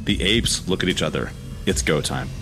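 A man's voice: low voice, expressive, normal speed, music in the background. A man hosting a podcast, chatting casually and narrating scripts. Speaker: podcast host.

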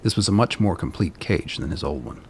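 A man narrating a dystopian radio play as a sombre, deliberate storyteller. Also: deep voice, sing-song, creaky, a little hiss or hum, exaggerated voice.

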